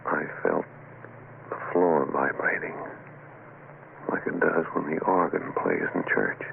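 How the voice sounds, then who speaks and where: A gravelly, very deep, brusque husband. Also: male voice, lively intonation, unhurried, exaggerated voice. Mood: uneasy. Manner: dismissive, reassuring, uneasy. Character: skeptical husband and father, brusque but nervous. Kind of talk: 1970s radio suspense drama acting. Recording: tinny, noisy old radio recording.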